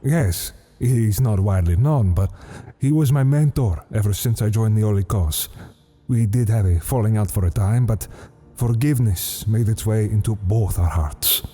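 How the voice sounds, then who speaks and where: A man's voice: on the low side, moderate pace, warm tone, breathy edge. A man playing a courteous old cardinal. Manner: formal, polite, earnest.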